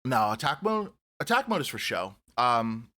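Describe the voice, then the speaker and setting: A man with a bright, forceful voice rambles through a casual chat. His pitch jumps up and down a lot as he swears and restarts his sentences. He talks loosely, like a friend telling a story. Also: sing-song, moderate pace. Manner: rambling, profane, self-correcting. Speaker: podcast co-host.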